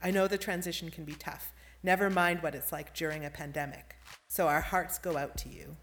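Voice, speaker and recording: Low-pitched woman reading podcast credits. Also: a bit bright. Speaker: theatre company member presenting podcast credits. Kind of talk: scripted thanks and announcements.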